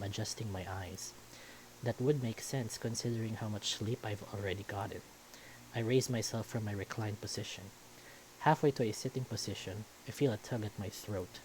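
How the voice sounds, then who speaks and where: A man's voice: fairly low pitch, a little mellow, a little hiss or hum, poor audio. A man reading a visual novel aloud as first-person story narration.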